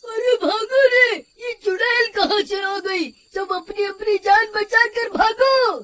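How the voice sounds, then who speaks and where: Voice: extremely high pitch, takes it slowly, sharp tone, slight background noise, heavily acted. A performer voicing an alarmed, angry villager in a cartoon story, declaiming to a mob. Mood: terrified.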